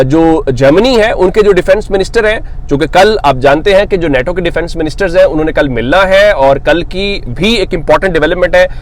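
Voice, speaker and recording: A man delivering unscripted news commentary as a host, explaining step by step and posing questions that he then answers himself. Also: on the high side. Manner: explanatory, reads English quotes slowly then paraphrases them; poses rhetorical questions and answers them.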